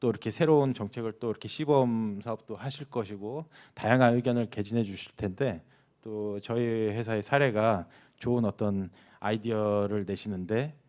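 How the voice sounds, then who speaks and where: A man's voice: lowish voice, moderate pace, a little mellow. Man presenting a company case study. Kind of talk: public presentation at a hearing, explanatory.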